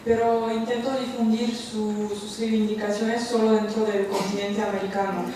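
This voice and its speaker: A woman panelist answers a question in a measured, explanatory way. Her voice stays flat and even. She is heard at a distance in a hall with very heavy echo. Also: mid-range voice, a little hiss or hum. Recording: distant, very echoey lecture hall.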